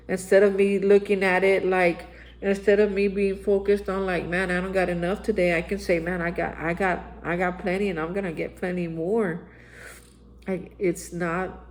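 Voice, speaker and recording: A man's voice: high-pitched, poor audio. Earnest male vlogger with a plain speaking voice; exhorting, repetitive spiritual reflection. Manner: earnest, exhorting, repeats phrases and says "man". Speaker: recovery vlogger. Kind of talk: daily spiritual reflection monologue. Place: Austin, Texas area.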